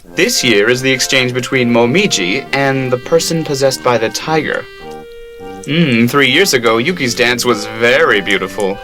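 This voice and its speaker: A man's voice: mid-range voice, normal speed, over music, natural voice, poor audio. A man playing a wry, teasing adult guardian in an amateur anime-style radio drama, mock-dramatic as he explains things. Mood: explanatory.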